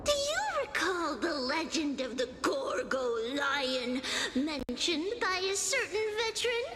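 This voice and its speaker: An actress voicing an elegant young noblewoman in a fantasy video game, with a measured, ornate, theatrical storytelling delivery. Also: high voice, big pitch swings, exaggerated voice. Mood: grand, dramatic. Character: elegant, poetic young noblewoman telling a grand legend. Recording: long reverb under loud music.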